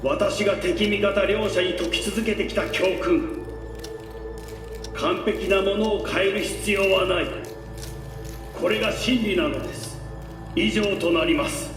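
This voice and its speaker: An actor playing an elderly corporate patriarch in a game, giving a formal speech in a measured, authoritative, didactic manner. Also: male voice, high voice, lightly acted. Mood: solemn, authoritative. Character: elderly male corporate patriarch making a public statement. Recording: echoey hall, distant, music behind.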